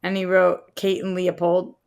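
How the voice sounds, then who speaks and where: A woman's voice: lowish voice, completely flat delivery, a little slow, creaky. A woman with an American accent chatting casually as a podcast co-host, informal and inquisitive about entertainment news.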